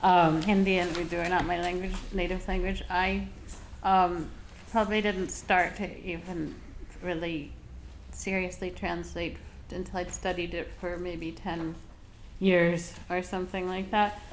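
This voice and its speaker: A woman's voice: lowish voice, little pitch movement, a little slow, slight background noise, poor audio. Reflective woman answering on a panel. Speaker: panelist translator. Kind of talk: panel discussion.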